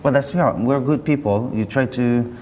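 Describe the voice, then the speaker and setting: A man being interviewed as a company representative, chatting casually in a halting, self-correcting way. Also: mid-range voice, darkish tone. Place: indoor hi-fi show. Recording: roomy hall, clear background noise.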